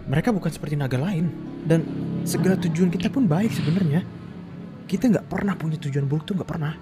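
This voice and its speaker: A man's voice: a little high, quick pace, lightly acted. A man playing an earnest, conciliatory dragon keeper in a Minecraft roleplay, explaining hesitantly. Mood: earnest, pleading. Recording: music behind.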